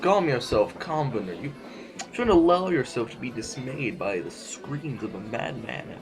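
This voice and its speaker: Over clear music, a man acts a lordly male sovereign in an audio drama. He is pompous and dismissive, with formal phrasing and animated rises and falls. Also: medium pitch, a little slow.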